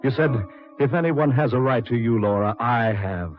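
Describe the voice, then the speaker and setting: An actor in a 1940s radio drama playing a vengeful man back from the dead, deliberate and ominous. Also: medium pitch, faint music behind, lightly acted. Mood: coldly menacing. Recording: dull 1940s radio recording.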